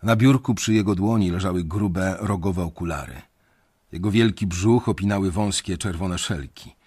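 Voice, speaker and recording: An actor playing an anxious young banker who narrates his own story in a confiding, measured, dramatic way in an audio drama. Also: sits low, darkish tone, husky. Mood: reflective, uneasy. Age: young adult.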